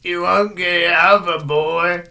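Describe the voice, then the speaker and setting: A man's voice: sits high, fairly even intonation, a little slow, gravelly, bright, forceful tone, full character voice. A man playing a forgetful old village storyteller in a comic audio drama, ominous and rambling. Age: older.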